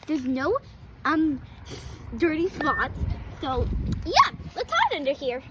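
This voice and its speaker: A child's very high, swooping voice narrates improvised doll play as host of the video. The recording is very noisy, with clicks and rustling from an outdoor yard. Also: huge pitch swings, slow. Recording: loud noise with clicks and rustling.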